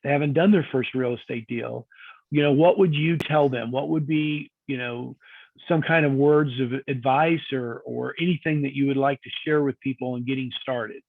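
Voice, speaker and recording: A man's voice: mid-range voice, moderate pace, vocal fry, dry recording. A man hosting a property tour video call, upbeat and chatty, with lots of filler words. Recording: tinny phone-like call audio.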